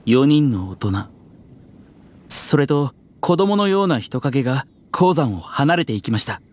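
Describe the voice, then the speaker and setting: Polite, low-voiced bookish young man. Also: big pitch swings, measured pace, darkish tone, a little hiss or hum, full character voice. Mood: confiding. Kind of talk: Japanese anime game voice acting.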